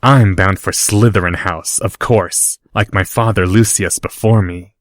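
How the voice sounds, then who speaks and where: A man's voice: sits low, fairly even intonation, a little slow, no room sound, studio quality. A man voicing a proud, snobbish rich boy who talks down to others. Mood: haughty. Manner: lofty, condescending.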